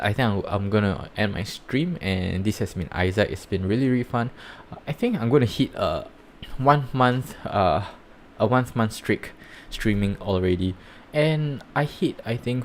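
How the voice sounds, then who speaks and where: A man with a Singaporean accent giving rambling, halting commentary while live-streaming reviews of projects. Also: fairly low pitch, slight background noise. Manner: rambling, halting, many fillers and restarts.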